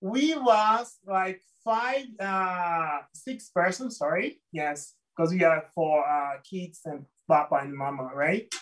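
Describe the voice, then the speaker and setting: A man from Brazil with a Brazilian Portuguese accent, a guest telling his life story in an interview, halting and searching for words in English. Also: high voice, fairly bright tone, distant mic, poor audio.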